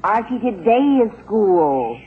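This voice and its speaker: A woman's voice: mid-range voice, sing-song, takes it slowly. An actress playing a practical, exasperated middle-aged mother in 1940s radio comedy style. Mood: insistent. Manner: fussing, emphatic. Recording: tinny, hissy 1940s radio recording.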